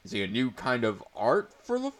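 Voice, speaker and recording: A man's voice: medium pitch, huge pitch swings, brisk. A man narrating a story aloud in a portentous, mock-dramatic way, with amused asides. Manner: portentous, breaking into amused commentary.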